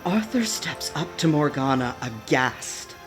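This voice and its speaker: A woman's voice: low voice, lively intonation, measured pace. A woman narrating a radio play from a script, setting out each scene as storyteller. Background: music.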